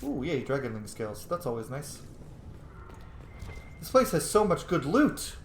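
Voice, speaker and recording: A man's voice: on the high side, sing-song, a little slow. A man hosting a game playthrough with casual, playful, sweary commentary. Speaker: gaming video host. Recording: echoey room, faint noise.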